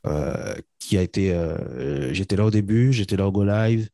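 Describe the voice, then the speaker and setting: Belgian-raised guy, deep voice, lots of uh and restarts, dry close sound. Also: lively intonation, normal speed, warm tone, no room sound. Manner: hesitant, many fillers and restarts. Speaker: SAP consultant guest. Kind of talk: casual interview talk.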